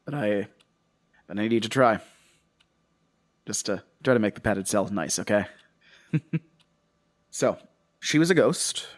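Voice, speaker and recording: An actor playing a troubled, defensive investigator in a horror audio drama, halting, rambling and confessional. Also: male voice, fairly low pitch, expressive. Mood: weary, confessional. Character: troubled, defensive male investigator recounting his case.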